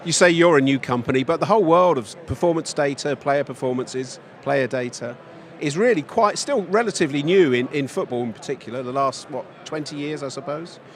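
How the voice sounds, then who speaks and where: A man's voice: fairly high pitch, fairly quick. A man interviewing a guest in a casual, friendly way, often ending his questions with "isn't it?", recorded outdoors at a trade event.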